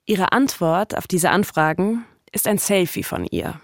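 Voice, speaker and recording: A woman's voice: fairly low pitch, big pitch swings, a little slow, dry recording, clean studio sound. A woman with a standard German accent delivering scripted narration as a podcast host.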